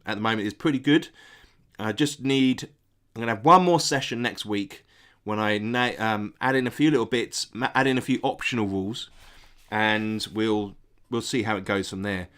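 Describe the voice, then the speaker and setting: A man's voice: lowish voice, a bit bright. A middle-aged man with a stuffy nose from a cold, hosting a casual live stream, answering chat in a hesitant, friendly way. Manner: hesitant, many ums and restarts, friendly toward viewers.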